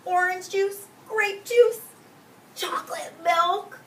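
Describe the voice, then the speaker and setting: A woman teacher reading a picture book aloud to young children, expressively and deliberately, drawing out key words and explaining simply. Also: extremely high pitch, fairly even intonation, a bit bright. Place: indoors in a classroom with young children. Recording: roomy distant mic with faint background noise.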